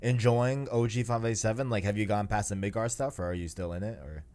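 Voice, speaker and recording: A man's voice: lowish voice, quick pace, a little mellow. A man hosting a podcast, chatting casually about video games in slangy speech and often repeating himself as he agrees. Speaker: podcast host.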